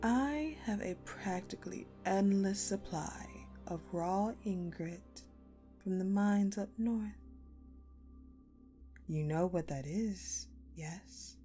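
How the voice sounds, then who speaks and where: A woman playing a cold, sadistic female general in a dark audio roleplay, speaking deliberately with mocking condescension and dramatic pauses. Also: medium pitch, soft background music, close to an everyday voice. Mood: silky menace. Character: sadistic, coldly menacing ice-queen general, commanding and mockingly sweet. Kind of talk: dark audio roleplay acting, addressed to the listener.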